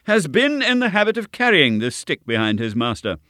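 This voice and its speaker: A man's voice: fairly high pitch, sing-song, a little fast, bright, forceful tone, no echo, clean studio sound, pitched above the performer's own voice. Man voicing a confident, lofty detective. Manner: assured, precise and declamatory. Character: brisk, confident male detective, sharp and lofty. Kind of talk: audiobook character reading.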